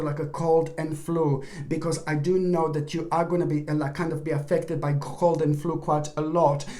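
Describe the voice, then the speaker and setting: A woman's voice: on the low side, dark tone. Rambling woman reading a tarot horoscope. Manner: rambling, with restarts and apologies. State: losing her voice, clearing her throat. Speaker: tarot reader.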